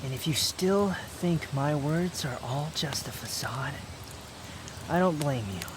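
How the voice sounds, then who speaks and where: A man's voice: fairly high pitch, slow, background noise. A man playing a proud, bitter young man, guarded but tender, in an intimate ASMR roleplay with brooding, curt, confessional delivery. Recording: outdoor nature ambience clearly behind voice.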